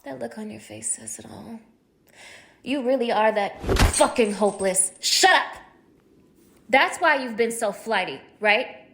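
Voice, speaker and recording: A woman's voice: on the high side, a little slow, vocal fry, heavy background noise. A young woman acting a cruel, contemptuous captor in an audio roleplay, taunting one listener in a mocking, degrading manner. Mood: mocking disbelief, then furious disgust. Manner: mocking, degrading, swinging from hushed menace to shouting.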